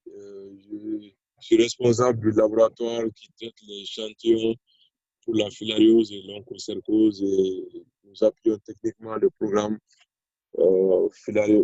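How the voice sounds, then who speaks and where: Male lab head, low flat delivery, dark dry lo-fi call audio; formal. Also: measured pace, dry recording. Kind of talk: self-introduction on a video call.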